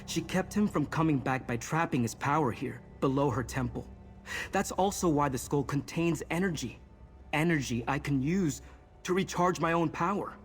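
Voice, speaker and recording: An earnest young male mage from a fantasy game voiced by an actor, with a somewhat high voice. He speaks intently and resolutely, explaining things over faint music. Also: moderate pace, natural voice. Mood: urgent, resolute. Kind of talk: video game character dialogue.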